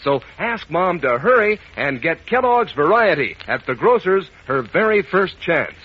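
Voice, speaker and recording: A man working as a 1940s radio announcer, giving a folksy, enthusiastic sales pitch to children. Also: sing-song, a little slow, breathy edge. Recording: muffled vintage radio broadcast.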